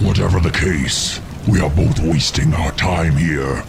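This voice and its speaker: A man's voice: a bass voice, measured pace, full character voice. A man voicing a bitter, proud orc warrior, grumbling and disdainful, in a fantasy game cutscene. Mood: bitter, scornful. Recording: clear ambient noise behind the voice.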